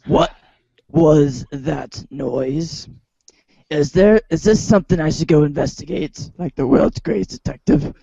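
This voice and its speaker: A brooding masked hero in a comic storybook parody, gruff and melodramatic. The voice is gravelly and husky, drawn out slowly, on a dull, muffled recording. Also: male voice, fairly high pitch, mellow, dry recording, heavily acted. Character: brooding gravelly Batman-style boy hero, gruff and dramatic. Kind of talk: comic read-along theater impression.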